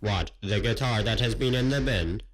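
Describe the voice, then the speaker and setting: An actor playing an officious robot janitor in a sci-fi comedy radio play, with formal, deadpan, dryly contemptuous delivery. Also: male voice, fairly low pitch, normal speed, lightly acted. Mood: dryly indignant.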